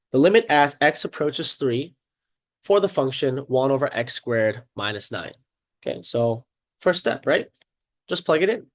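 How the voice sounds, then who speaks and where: Congested man teaching a casual math lesson. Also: mid-range voice. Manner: upbeat, chatty, checks in often with "okay" and "right?". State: congested, sniffling.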